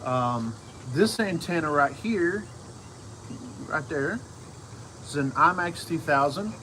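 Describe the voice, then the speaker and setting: A middle-aged man with a fairly high-set voice explains his hobby in an off-the-cuff, casual way. He speaks haltingly, repeating phrases and circling back. He is recorded outdoors, with faint insect and vehicle noise behind him. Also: expressive, pushed and bright, rough recording. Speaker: radio hobbyist video host. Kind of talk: casual, unscripted explainer vlog. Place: outdoors in a yard.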